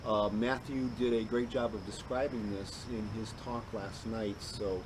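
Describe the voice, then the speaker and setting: A man from with a hoarse, raspy voice, rambling in casual chat as a podcast co-host on an outdoor patio. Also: on the low side, fairly even intonation, measured pace. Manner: rambling, chatty storyteller. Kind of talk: casual chat over beers. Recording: noisy outdoor recording.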